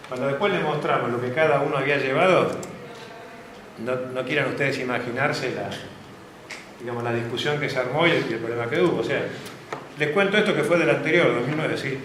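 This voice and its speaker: A man's voice: on the high side, a bit bright. Man, Argentine accent, storytelling lecturer, checks in with the audience, very echoey classroom, faint hiss. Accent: Argentine Spanish. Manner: anecdotal, asks the audience for confirmation. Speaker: guest lecturer.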